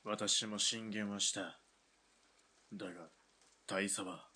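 A man plays a commanding army officer in a radio drama, his voice lowish with a bright, forceful edge. It is husky, and his orders come out curt and final. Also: fairly even intonation, slow, full character voice. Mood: firm. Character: stern Japanese army lieutenant colonel. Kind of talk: hobby radio drama acting.

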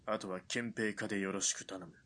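An actor playing a stubborn army technical officer in a radio drama, speaking in a measured, formal way. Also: male voice, fairly low pitch, fairly even intonation, fairly bright tone, close to an everyday voice.

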